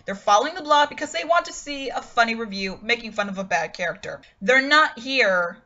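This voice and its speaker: A woman's voice: on the high side, expressive, fairly quick, bright, forceful tone, muffled recording. A woman reading and commenting on a review in an emphatic, opinionated way. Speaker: host reading a character review aloud, then commenting.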